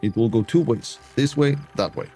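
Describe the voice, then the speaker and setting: A man's voice: medium pitch, normal speed, dark tone, soft background music. A man commentating a building game to his chat in a put-on broken-English dictator voice, dropping articles. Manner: talks to chat in a mock Eastern European dictator voice, dropping articles. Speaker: game streamer. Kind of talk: casual gameplay commentary.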